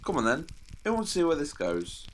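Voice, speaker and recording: A man playing a brisk, practical young man in a fan audio drama, talking in an impatient way. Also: on the high side, wildly swooping pitch, slight background noise.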